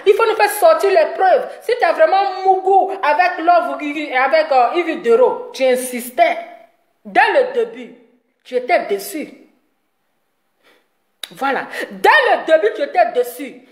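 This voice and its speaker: A high-voiced woman delivers a rambling, scolding commentary. She mocks her target and laughs often between jabs. Her voice rings in a roomy, echoing space. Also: animated, a little slow. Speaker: online commentator. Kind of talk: ranting commentary video.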